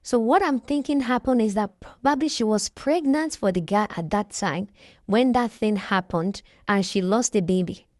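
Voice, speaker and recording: A woman's voice: medium pitch, normal speed, darkish tone, no echo. Chatty woman recapping, dry sound. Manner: chatty, hesitant, trails off and restarts. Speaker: reaction vlogger. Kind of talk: casual recap commentary.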